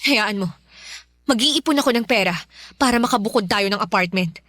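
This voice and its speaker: A woman's voice: medium pitch, brisk, sharp tone, no echo, clean studio sound. A woman with a Filipino accent playing a fed-up, protective young elder daughter in a radio drama, emphatic and reproachful. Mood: frustrated, resolute.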